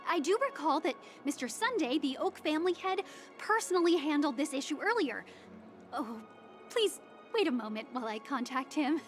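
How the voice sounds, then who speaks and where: An actress playing a formal, courteous and apologetic young hotel receptionist in a video game. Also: female voice, high voice, expressive, normal speed, faint music behind. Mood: flustered.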